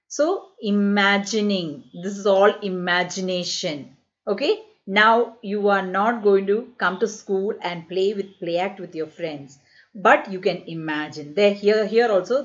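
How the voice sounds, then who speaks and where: A woman from India teaching an English lesson to children, repeating words slowly and coaxing her pupils to speak. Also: medium pitch, lo-fi sound.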